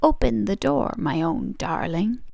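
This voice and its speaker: A woman voicing an enchanted frog in a storybook, pleading insistently in a chanting rhyme with drawn-out endearments. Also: medium pitch, expressive, vocal fry, darkish tone, no room sound, clean studio sound.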